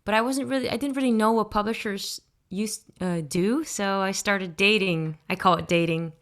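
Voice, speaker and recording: Dutch woman, clear smooth voice, relaxed, thoughtful, pauses with ums, podcast guest. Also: medium pitch. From Netherlands. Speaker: guest singer-songwriter. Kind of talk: casual interview answers.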